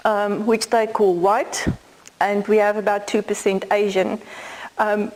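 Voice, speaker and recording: A woman's voice: fairly high pitch, a little mellow, rough recording. A middle-aged South African woman whose first language is Afrikaans, giving a law lecture in a conversational, explaining way with some fillers. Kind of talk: academic lecture.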